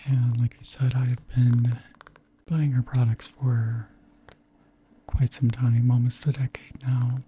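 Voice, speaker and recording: A beauty creator around thirty speaking softly in a whispery, breathy voice, gently chatting through products in an unhurried ASMR style. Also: mid-range voice, level tone, slight background noise. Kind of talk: soft-spoken ASMR chat and product show-and-tell.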